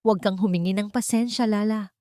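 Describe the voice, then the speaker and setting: A woman voicing a serious, gentle rich man who hides as a houseworker, speaking quietly and earnestly. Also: medium pitch, steady tone, brisk, no room sound, studio quality, pitched above the performer's own voice, natural voice. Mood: tender.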